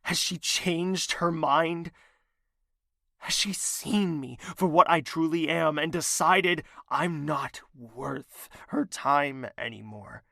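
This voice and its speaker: A man's voice: on the high side, slow, creaky, bright, forceful tone, no echo, clean studio sound, heavily acted. A man playing a lovesick, stubborn young prince in an audio drama, earnest, formal and melodramatic. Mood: anguished, wounded.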